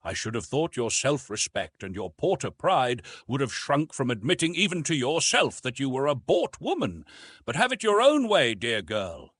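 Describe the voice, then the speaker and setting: A domineering businessman suitor from an old novel, acted out by a male narrator. He is blunt, cynical and condescending, with a husky voice and swooping emphasis. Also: medium pitch, big pitch swings, moderate pace, fairly bright tone, no echo. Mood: cynical, smug.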